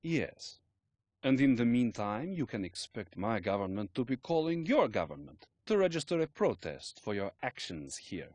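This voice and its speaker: A man's voice: medium pitch, sing-song, normal speed, a little mellow, no room sound. A man playing a stonewalling military captain in a video game, sardonic and lecturing. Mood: scornful, lecturing. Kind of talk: cinematic video game acting.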